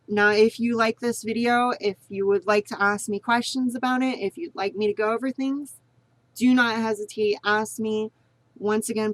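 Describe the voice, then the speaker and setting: A woman's voice: mid-range voice, no echo. A woman giving a casual spoken tutorial on voice training, rambling and repeating herself, earnest and encouraging. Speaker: vlogger teaching voice training.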